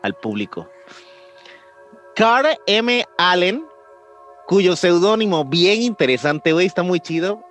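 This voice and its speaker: A Mexican man walking through what he found in a loose, chatty podcast style, full of casual slang. His voice is a touch high, with faint music behind it. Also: slow pace, pauses often. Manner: relaxed explainer, loose and chatty. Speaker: podcast co-host. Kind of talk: casual chat.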